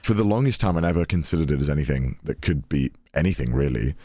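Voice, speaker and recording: A man's voice: a bass voice, fast, no room sound, clean studio sound. A British man in his twenties chatting casually on a podcast, rambling and often restarting his sentences. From United Kingdom. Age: 20s. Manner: rambling, self-correcting, animated. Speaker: podcast guest.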